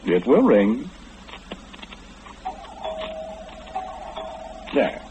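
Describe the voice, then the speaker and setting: A man's voice: medium pitch, wildly swooping pitch, a little slow, music in the background. An actor playing a smug, impatient, all-knowing businessman in an old-time radio horror play, with fussy, precise diction and a pompous manner. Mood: curt, impatient. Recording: muffled, noisy old-time radio recording.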